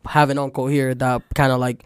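Young man, Venezuelan accent, Spanish first language. Clear, smooth tone, dead-dry booth sound, rambling casual storyteller. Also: mid-range voice, a little fast, no echo. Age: young adult. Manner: rambling, frequent "like" fillers, relaxed storytelling. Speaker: podcast guest. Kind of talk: casual chat.

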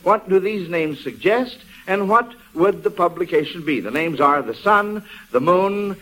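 A man's voice: sits high, expressive, measured pace, pushed and bright, poor audio. An American man hosting a 1940s radio quiz in a folksy, chatty, encouraging manner, on a vintage broadcast recording. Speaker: quiz show host.

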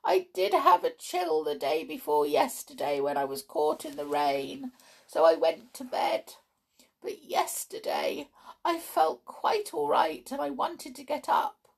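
A tearful little clockwork clown from a storybook, his voice pitched very high and wobbling with sobs, as a woman acts him out. He sounds sorry for himself and trembles on every word, swooping up and down as he wails. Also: big pitch swings, slow, creaky, heavily acted. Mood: miserable. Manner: high, quavering, sobbing. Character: weepy, gentle male clockwork clown toy.

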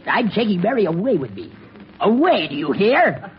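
A man's voice: high-pitched, huge pitch swings, normal speed. An actor playing a brash, blunt iceman stealing another man's wife in a vintage radio comedy. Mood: brash defiance. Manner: blunt, repetitive tough talk. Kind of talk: 1940s radio comedy acting. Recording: dull old radio, faint noise, audience.

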